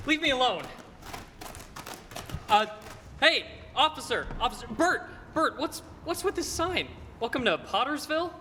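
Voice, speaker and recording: A man's voice: very high voice, lively intonation, a little slow, long pauses, sharp tone, heavily acted. A teenage man acting a bewildered, stammering, agitated family man in a radio play. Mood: agitated, disbelieving. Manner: stammering, flustered. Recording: noisy echoey hall stage recording.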